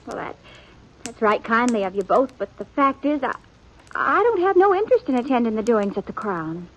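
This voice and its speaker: An actress playing a shy, proud young country stepdaughter, halting and earnest in an old-time radio western. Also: female voice, fairly high pitch, big pitch swings. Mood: proud, defensive. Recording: muffled old radio broadcast.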